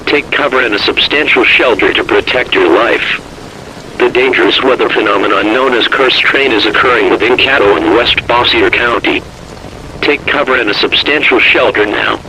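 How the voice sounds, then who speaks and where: A man's voice: mid-range voice, moderate pace, noisy background. A man delivering a scripted emergency alert in a formal, impersonal, commanding manner.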